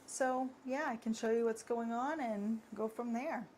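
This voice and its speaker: A woman co-hosting a casual live stream, with a low, soft voice that cannot project. She talks hesitantly, with many fillers and frequent giggles. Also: fairly even intonation, a little slow. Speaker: co-host and craft seller.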